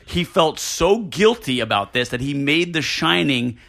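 A man's voice: a little high, animated, unhurried, vocal fry, bright, forceful tone. A man co-hosting a podcast, casually discussing films in a stop-start manner, repeating his opening words. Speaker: podcast co-host.

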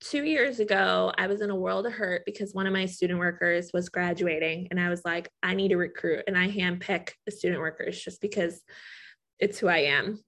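A woman's voice: on the low side, fairly quick. A woman in her thirties co-hosting a casual talk show, telling stories and acting out quotes with animation. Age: 30s. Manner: animated storyteller, acts out quotes. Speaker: podcast co-host. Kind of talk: casual chat.

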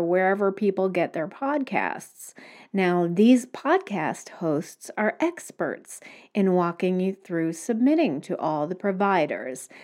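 A woman's voice: fairly low pitch, a little mellow. A woman hosting her own podcast, explaining things step by step in a casual solo talk. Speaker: podcast host. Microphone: home microphone.

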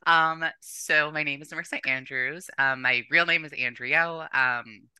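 Podcast guest: young North American woman, informal and animated, sharp bright tone, close dry sound. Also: sits low, measured pace, clear, resonant tone, no room sound. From born or raised in Canada, later the United States. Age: young adult. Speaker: podcast guest, competitive bodybuilder. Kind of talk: casual chat, personal storytelling.

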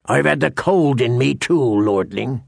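A man's voice: medium pitch, expressive, unhurried, gravelly, no room sound, clean studio sound, full character voice. A man voicing a sullen, grizzled old man-at-arms, grim and plainspoken, in an audiobook fantasy tale. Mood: bitter.

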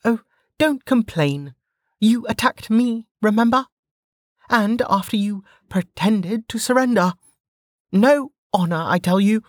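A woman's voice: fairly high pitch, lively intonation, slow pace, darkish tone, dry recording, clean studio sound, voice pushed up. A narrator voicing a brash, cocky young woman fighter who talks in a bossy, taunting way. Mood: mocking.

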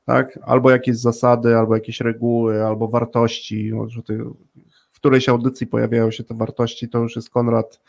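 A man's voice: mid-range voice, little pitch movement, normal speed, gravelly, a little mellow. A man hosting a conversational radio interview, speaking in a reflective, searching way. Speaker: radio show host.

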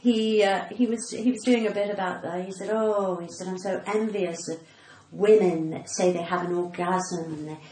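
A woman's voice: mid-range voice, fairly even intonation, moderate pace, few pauses, mellow. A middle-aged woman with a cut-glass British accent, chatting casually and cheekily as a comedian being interviewed. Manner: cheeky, flirtatious. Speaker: comedian and actor guest. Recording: echoey room with noise.

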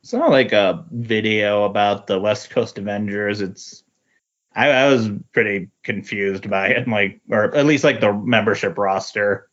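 Guy w a lowish voice, hesitant casual podcast chat, halting, slightly muffled recording. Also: fairly bright tone. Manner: tentative, halting, reacting to explanations. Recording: dull lo-fi voice recording.

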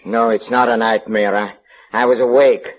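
A man's voice: fairly low pitch, flat delivery, normal speed, natural voice. An actor playing a fearful, obsessed man who stammers and repeats words in a 1940s radio horror drama. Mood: agitated. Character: fearful, obsessed haunted man, unravelling into mania. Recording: muffled old radio recording with background noise.

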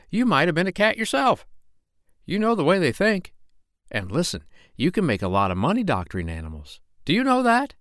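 A man's voice: on the high side, a bit bright, no echo, clean studio sound, pitched above the performer's own voice. A man voicing an eager, uneducated street meat seller with a folksy, wheedling manner for a children's story. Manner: wheedling, colloquial, emphatic.

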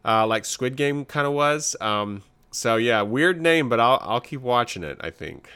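A man's voice: mid-range voice, expressive, a little slow, sharp tone, clear, resonant tone. A man co-hosting a podcast, chatting casually and bluntly in slangy, laid-back talk about what he has been watching. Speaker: podcast co-host. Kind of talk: casual chat reviewing shows and films.

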